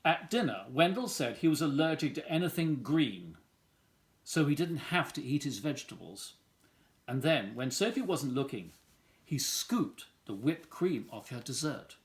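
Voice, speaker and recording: A man's voice: on the high side, very animated, measured pace. A man reading a children's picture book aloud as a storyteller. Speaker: volunteer story reader.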